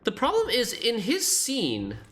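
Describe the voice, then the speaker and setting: Lively, unhurried streamer thinking aloud. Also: medium pitch, faint music behind. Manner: thinks aloud, animated, self-deprecating. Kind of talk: live gameplay commentary.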